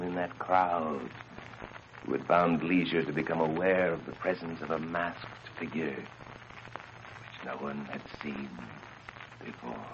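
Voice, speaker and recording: A man narrating a gothic horror story in a dramatic old radio manner, swinging between hushed and declaiming delivery. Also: very deep voice, background noise. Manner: theatrical, swelling from hushed near-whisper to declaiming. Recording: dull, band-limited radio sound under loud music. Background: loud music.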